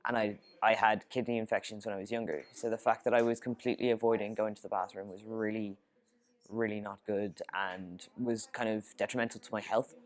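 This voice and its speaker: A man's voice: lowish voice, steady tone, a little fast. A young man with an Irish accent, speaking earnestly in a documentary interview with frequent ums and uhs. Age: young adult. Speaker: student interviewee.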